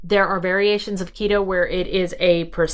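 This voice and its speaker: A woman in her thirties, raspy after the flu, explaining diet basics in a chatty, casual way with many fillers and restarts. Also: fairly low pitch, level tone, normal speed. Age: 30s. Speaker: vlogger. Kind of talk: casual how-to talk to camera.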